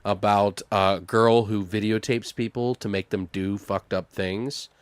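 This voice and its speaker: A man in his thirties co-hosting a podcast in casual, slangy, animated chat with frequent swearing. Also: fairly low pitch, measured pace, smooth, no echo. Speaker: podcast co-host. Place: home studio room.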